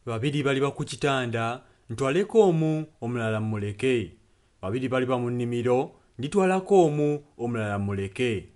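A man serves as a sermon interpreter. His voice is of average pitch, and he delivers the prayer solemnly, one phrase at a time. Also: lively intonation, a little fast, a little mellow. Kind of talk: consecutive translation of a sermon and prayer.

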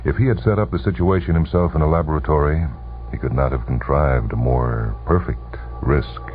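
A man reading a short story for radio in a measured, deliberate, suspenseful way. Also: rumbling low. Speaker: narrator. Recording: tinny, band-limited vintage radio broadcast. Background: music under the voice.

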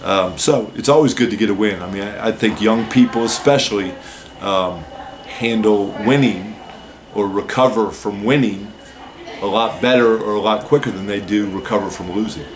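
Measured lowish-voiced coach at a press table. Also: male voice, slight background noise. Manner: measured, explanatory, many "uh" and "so" openers. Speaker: head basketball coach. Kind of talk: postgame press conference answers. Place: press room with a team backdrop, seated at a table.

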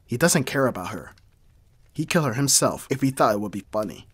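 A man acting a brooding mafia boss, hard but secretly caring, in a dramatic audio roleplay with terse, measured delivery. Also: medium pitch, sing-song, fairly bright tone, breathy edge. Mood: frustrated. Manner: terse, profane, sighing, measured.

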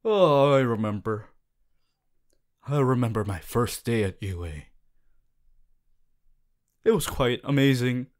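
Man playing a theatrical young superhero student, swinging pitch. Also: lively intonation. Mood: earnest, upbeat. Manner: halting, theatrical, breaks into booming heroic declarations. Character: boisterous, cheery young male superhero student. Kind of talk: ASMR audio roleplay to a listener.

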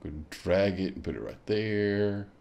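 Gravelly low-voiced man musing. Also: unhurried, darkish tone, dull audio. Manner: thinking aloud, musing with hmms while clicking. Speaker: kayak fishing show host. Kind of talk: screen-share tutorial walkthrough.

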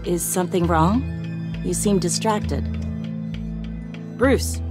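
An actress playing a coy, flirtatious socialite in a video game, speaking conversationally. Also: female voice, lowish voice, takes it slowly, over music. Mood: puzzled, concerned. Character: flirtatious, curious casino socialite woman.

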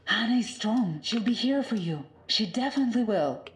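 Actress as gentle medic in an echoey room. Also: female voice, a little high, expressive, normal speed, close to an everyday voice. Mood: reassuring. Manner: soft, reassuring. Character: gentle caring woman medic, reassuring. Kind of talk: dramatic video game acting.

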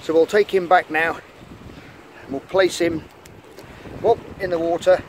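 A man's voice: a little high, fairly even intonation, a little slow. Chatty man on a windy beach. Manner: chatty and enthusiastic, quietly talking while handling creatures. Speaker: channel host. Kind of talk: casual nature vlog narration. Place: rocky beach rock pools outdoors. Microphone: camera held in hand at the shore. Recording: outdoor wind noise on mic.

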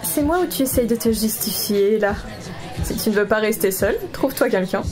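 A woman's voice: mid-range voice, animated, normal speed, a crowd in the background, lightly acted. A woman playing a blunt, teasing friend in casual audio drama dialogue. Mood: dry teasing. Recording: music behind the voice.

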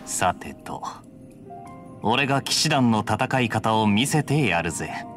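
Suave, slow-talking knight captain. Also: male voice, medium pitch. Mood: teasing. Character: suave, cocky, scheming young male knight captain. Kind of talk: Japanese anime game dialogue.

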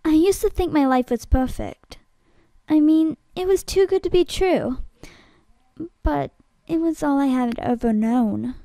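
A woman acting a bewildered, defensive girl in an amateur audition for a drama series, with dramatic, deliberate delivery. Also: sits high, warm tone, no echo. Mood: wistful.

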